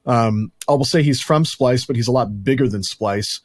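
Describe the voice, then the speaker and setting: A man's voice: mid-range voice, normal speed, dry recording, clean studio sound. A man hosting a podcast who talks in a rambling, chatty, self-deprecating way. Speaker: podcast host. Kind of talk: casual podcast chat.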